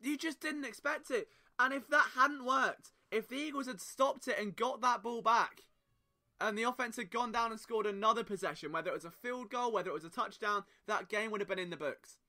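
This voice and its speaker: Bright, high-voiced man, impassioned. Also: brisk. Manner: impassioned, emphatic rhetorical questions. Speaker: podcast host. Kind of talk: solo sports podcast monologue.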